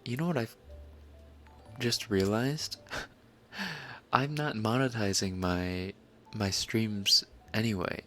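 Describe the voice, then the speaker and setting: Soft-spoken, slow-talking man streamer. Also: fairly low pitch, faint music behind. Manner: soft-spoken, laid-back, swears casually. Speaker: ASMR streamer. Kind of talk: casual late-night live stream chat.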